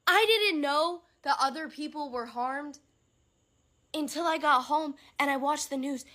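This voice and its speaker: A woman's voice: high voice, unhurried, sharp tone. A woman giving an emotional personal statement in a shaky voice that is close to tears.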